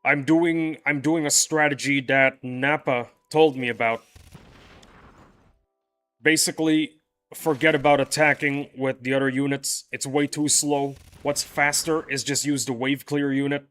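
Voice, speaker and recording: Young male streamer; mid-pitched, rambling and casual; faint music bed. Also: flat delivery, a little slow, fairly bright tone. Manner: rambling, loose, often restarts sentences. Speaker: game streamer. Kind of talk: casual livestream chat with viewers. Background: faint background music.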